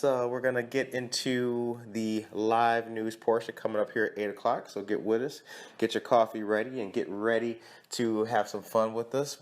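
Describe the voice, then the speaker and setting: A man hosting a morning podcast, reading community announcements and interviewing a guest in a lively, friendly way. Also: lowish voice. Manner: lively, friendly, self-correcting with a laugh. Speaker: podcast host.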